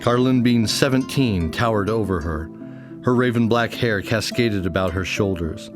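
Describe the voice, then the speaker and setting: Male narrator, very low register, scripted fantasy radio play over clear music bed. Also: moderate pace.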